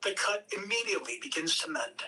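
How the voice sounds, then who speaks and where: A woman's voice: medium pitch, big pitch swings, a little fast, sharp tone, distant mic. A woman reading stage directions as scripted narration at a table read.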